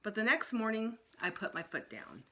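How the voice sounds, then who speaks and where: A woman reading a children's story aloud as storytime narrator, expressive, with emphatic pauses. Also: a little high, poor audio. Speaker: storytime reader.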